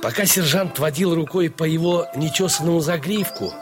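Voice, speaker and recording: An actor playing a playful, warm-hearted dog owner who tells his own story in a theatrical, expressive audio dramatization. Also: fairly high pitch, normal speed, music in the background, natural voice. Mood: amused, excited. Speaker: narrator and dog's owner in a story. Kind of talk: dramatized first-person story narration.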